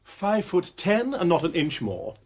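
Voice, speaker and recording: An actor playing a flippant, breezy aristocratic amateur sleuth in a radio drama, with an upper-class English accent. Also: male voice, high-pitched, big pitch swings, a little slow, darkish tone, slight background noise. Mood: brisk, teasing.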